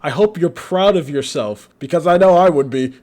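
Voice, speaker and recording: A man's voice: a little high, moderate pace, music in the background. A young man reading a scripted commentary video essay in a sarcastic, mocking way. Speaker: commentary youtuber.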